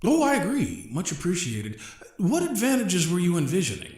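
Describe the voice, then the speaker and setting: A man acts out a courteous gentleman genius from an audio drama, earnest and formal in his wordy politeness. His voice is fairly high for a man and swings widely in pitch, with a roomy echo around it. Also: huge pitch swings, moderate pace. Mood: composed, courteous. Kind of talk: scripted audio drama acting.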